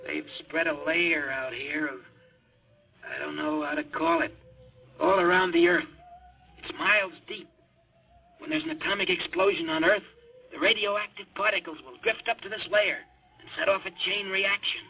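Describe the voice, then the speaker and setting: An actor playing a frantic young rocket test pilot who pleads urgently, in a 1950s radio drama acting style, heard through a tinny old radio broadcast. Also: male voice, a little high, normal speed, gravelly, breathy edge, faint music behind. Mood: dazed.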